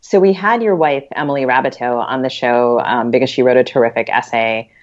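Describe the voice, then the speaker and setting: A woman's voice: very deep voice, a little fast. A woman co-hosting a podcast, interviewing in a thoughtful, discursive conversational way. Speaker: podcast co-host. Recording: dull lo-fi recording.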